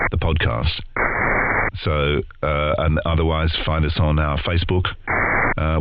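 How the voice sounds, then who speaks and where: Very deep Australian man, noisy radio sound. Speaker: interview guest, podcast co-host in voiceover and audio. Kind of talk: casual conversational interview. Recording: dull lo-fi radio audio, loud noise, clicks.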